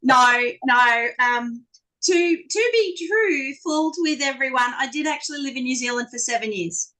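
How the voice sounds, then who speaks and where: Lively Australian woman on a panel. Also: high-pitched, unhurried. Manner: animated, self-deprecating storyteller. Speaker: panel speaker. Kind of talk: live panel discussion.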